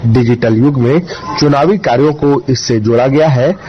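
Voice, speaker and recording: A muffled radio recording with faint noise carries a man's mid-pitched voice. He gives a scripted correspondent's report in a formal style. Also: moderate pace. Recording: dull, faintly noisy radio field report.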